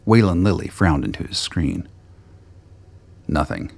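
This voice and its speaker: A man with a gravelly, husky voice reading scripted narration for a radio play. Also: low voice, very animated, unhurried, darkish tone, dry recording.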